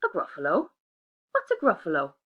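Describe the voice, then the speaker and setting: A woman voicing a sly, hungry male fox in a children's storybook, smooth and coaxing, on a dull lo-fi recording. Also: lowish voice, wildly swooping pitch, normal speed.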